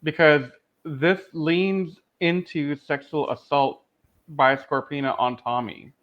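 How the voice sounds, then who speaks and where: Muffled lo-fi recording, guy with a highish voice chatting on a review podcast, emphatic. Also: fairly even intonation, takes it slowly. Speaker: podcast co-host. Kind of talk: casual discussion and review.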